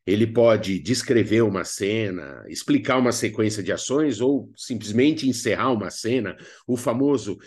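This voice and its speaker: A man with a Brazilian accent presenting an instructional lesson in a didactic, expressive way with colloquial asides. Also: fairly low pitch, moderate pace, hardly any pauses. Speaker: drama teacher presenting a video lesson. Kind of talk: instructional narration with acted examples.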